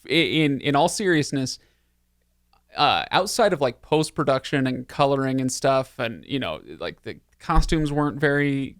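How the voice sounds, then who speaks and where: A man's voice: mid-range voice, animated, normal speed, a bit bright, smooth, no room sound, studio quality. A man hosting a casual, conversational podcast discussion with friends. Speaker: podcast host. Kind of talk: casual panel chat.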